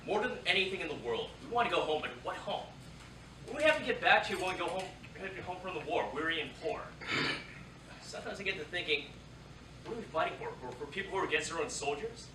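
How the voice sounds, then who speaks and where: A man's voice: sits high, lively intonation, quick pace. A man playing a proud, bitter young Japanese American Second World War soldier in a staged reading, emphatic and declamatory. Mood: bitter, impassioned. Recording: roomy, distant theatre recording, faint noise.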